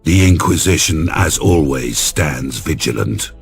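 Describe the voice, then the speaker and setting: A man voicing a grim, zealous Inquisitor in a sci-fi game cutscene, solemn, deliberate and ominous. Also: extremely low pitch, expressive, vocal fry, faint music behind, full character voice. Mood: cold, vigilant.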